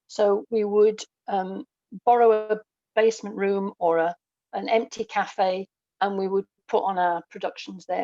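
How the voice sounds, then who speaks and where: A woman's voice: medium pitch, dark tone, no room sound, lo-fi sound. A middle-aged woman guest, a writer and performer, telling stories conversationally in an interview. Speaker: guest, audio dramatist and performer.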